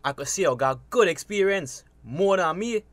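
Highish, friendly Belizean fisherman. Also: animated, normal speed, no room sound. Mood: earnest. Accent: Belizean Creole. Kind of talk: radio drama acting.

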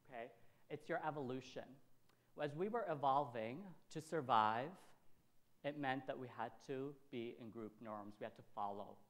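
A man in his thirties from Syria giving a motivational talk, emphatic, repeating phrases and checking in with short tags. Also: medium pitch. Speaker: workshop speaker. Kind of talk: motivational presentation to an audience. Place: indoor event space, presenting in front of a backdrop.

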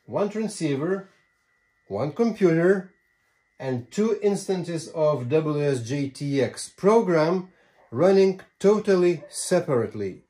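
A man in his sixties presents a hands-on tutorial in a mellow, relaxed voice. He takes his time, talking informally and leaning on key words, with folksy asides along the way. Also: on the high side. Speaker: ham radio tutorial presenter. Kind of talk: informal how-to explanation. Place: small home radio room by a window.

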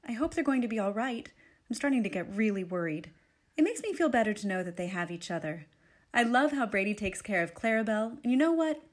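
A woman hosting a family audio drama, talking directly to children in a friendly, encouraging way. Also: mid-range voice. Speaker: host. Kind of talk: warm scripted outro for children. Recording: voice over background music.

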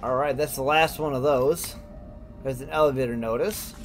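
A man with a medium-pitched voice commentates casually on a video game. He thinks aloud in short remarks, with clear game music playing behind him. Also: steady tone, normal speed. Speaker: let's player. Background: music and game sounds.

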